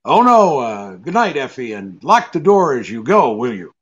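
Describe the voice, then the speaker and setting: A man's voice: mid-range voice, very animated, normal speed, a bit bright, dull audio. A man playing a wry, tough, unflappable private detective in a 1940s-style radio drama, with an offhand way of questioning. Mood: wry, casual.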